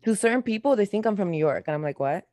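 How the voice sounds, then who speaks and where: A woman's voice: mid-range voice, animated, fast, a little mellow, dry recording, studio quality. A young woman with a light Latin American accent, born abroad, chatting casually as a podcast co-host and rambling with lots of fillers and restarts. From born outside the US. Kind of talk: casual chat.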